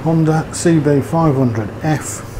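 A man's voice: fairly high pitch, takes it slowly, background noise. A man giving a casual, rambling motorbike review, with fillers and hesitations. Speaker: motovlogger.

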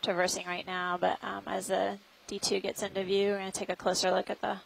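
A woman scientist giving explanatory live commentary on a deep-sea dive. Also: completely flat delivery, a little fast, creaky, rough recording. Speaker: expedition scientist narrating dive. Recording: faint background noise.

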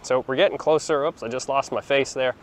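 A man in his forties walks and talks into a handheld phone outdoors, chatting through a relaxed tour with lots of ums and restarts. His voice sits at a middle pitch. Faint outdoor ambience and the odd passing car sit behind him. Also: a little fast, a little hiss or hum. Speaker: vlogger showing a beach town. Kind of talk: casual walking tour talk to camera. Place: outdoors, sunny beachfront plaza and beach. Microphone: phone held at arm's length, small clip-on mic.

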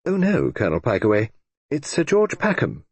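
A man's voice: mid-range voice, wildly swooping pitch, normal speed, slightly rough, no room sound, pitched above the performer's own voice. A man doing a prim, polite secretary.

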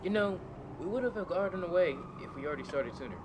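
A man's voice: high voice, lively intonation, quick pace, heavy background noise. A man acting an impatient, hot-tempered bank robber who snaps in exasperation in an amateur radio drama. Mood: impatient, angry. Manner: exasperated, snapping.

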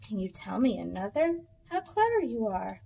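A woman's voice: mid-range voice, big pitch swings, normal speed, vocal fry, dark tone, slightly rough, exaggerated voice. A woman voicing an enthusiastic skinny man in a picture book, exclaiming with gushing delight.

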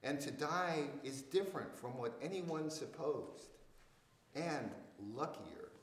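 An older man reciting poetry with deliberate, measured phrasing. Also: fairly high pitch, lively intonation. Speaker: poet reading at a public reading. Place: indoors in a church hall. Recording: echoey hall reverb.

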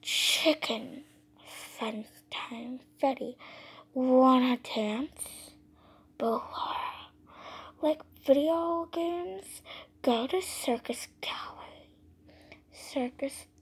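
A woman narrating video game role-play and reading aloud haltingly, often dropping into a whisper. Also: high voice. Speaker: gaming video maker.

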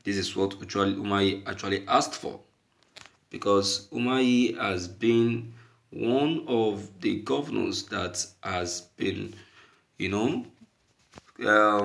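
A man's voice: mid-range voice, creaky. A man with a Nigerian accent giving opinionated news commentary as a host, emphatic and repeating phrases for stress.

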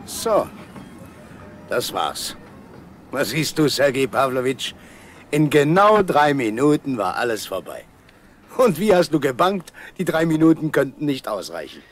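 Hearty, animated village official. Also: male voice, mid-range voice, unhurried, pauses often, faint music behind. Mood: jovial, encouraging. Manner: avuncular, reassuring, chatty. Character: hearty, caring village official, jovially taking charge. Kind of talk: 1970s German radio play acting.

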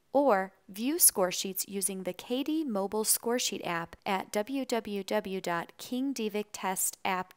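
A woman with a smooth, clear voice reads a scripted tutorial voice-over. Her delivery is even, measured and instructional, in a mid-range pitch, recorded close on a studio mic. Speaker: tutorial narrator.

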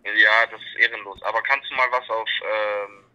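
Young man from Berlin, casual caller, bright edgy voice, slangy and blunt. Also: lowish voice, steady tone, moderate pace, clean tone, highs cut off. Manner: cocky street slang, blunt and dismissive. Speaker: caller in a random chat. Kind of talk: casual phone chat.

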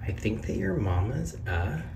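A man's voice: fairly low pitch, very animated, measured pace, gravelly, far from the mic, background noise. A man reading a children's picture book aloud in a sing-song way, lifting his voice on each question and reveal. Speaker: read-aloud narrator. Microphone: camera over the book, hands on pages.

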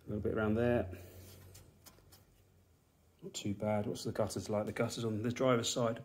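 A man's voice: on the low side, flat delivery, normal speed, a little mellow. A man in his forties from England, giving matter-of-fact commentary with dry, understated remarks while filming a walkaround of an old car in an indoor garage workshop. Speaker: car restorer, channel host.

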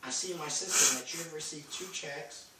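A middle-aged man playing a formal, official benefits agent in a halting phone manner for a prank call. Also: a little high, lightly acted. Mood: businesslike. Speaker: prank caller. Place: home kitchen and living room, indoors. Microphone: phone camera across the room. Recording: distant phone-camera recording, echoey room, noisy.

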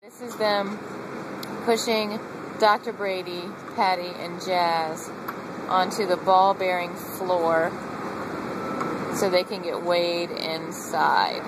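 A woman's voice: steady tone, slow, long pauses. A woman giving a casual, chatty live video diary in short offhand phrases as she travels as a horse groom. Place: airport tarmac and inside a cargo horse crate. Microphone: handheld camera mic held by the speaker. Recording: loud engine and vehicle rumble, handheld camera. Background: loud engines, vehicles and rolling cargo noise.

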